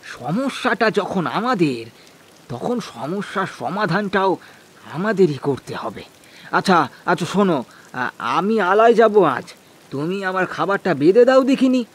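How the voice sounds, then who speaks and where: Horror cartoon villager, done by a man: worried grumbler, stammering exclamations, raised male pitch. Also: sing-song, unhurried, husky, full character voice. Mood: determined, flustered. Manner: exclamatory, stammering, repeats words. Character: anxious, grumbling village fish-farmer husband. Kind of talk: Bengali horror cartoon dialogue.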